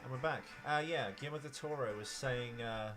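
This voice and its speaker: A man's voice: medium pitch, fairly quick, fairly bright tone. Male co-host, opinionated and explanatory, casual conversational delivery, faint music underneath. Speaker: podcast co-host. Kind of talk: casual film chat.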